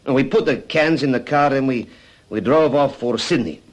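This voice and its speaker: Casting: male actor for cowed male crime suspect, mid-pitched, halting and earnest, eager to confess, classic radio drama. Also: lightly acted. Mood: halting confession.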